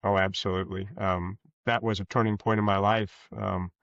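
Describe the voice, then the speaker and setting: A man answering interview questions as a guest author, conversational, with rambling, halting explanations. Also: fairly low pitch, level tone, a bit bright, dry recording. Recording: dull, lo-fi sound.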